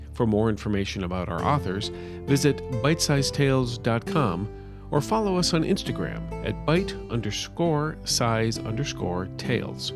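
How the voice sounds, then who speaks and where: A man narrating a story and its notes as a podcast storyteller, recorded close in a dry space. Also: medium pitch. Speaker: narrator and author.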